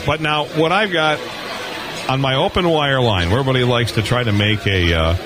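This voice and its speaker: A man's voice: medium pitch, a little fast. A man on a live radio show asking questions in casual chat, often stumbling and restarting his sentences. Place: convention floor booth. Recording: live broadcast with crowd behind.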